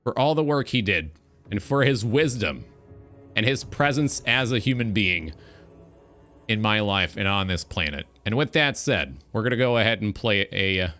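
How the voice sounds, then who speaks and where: A man's voice: fairly low pitch, expressive, moderate pace, fairly bright tone. A man hosting a casual livestream talk show, speaking in an earnest, reflective monologue with music playing behind him.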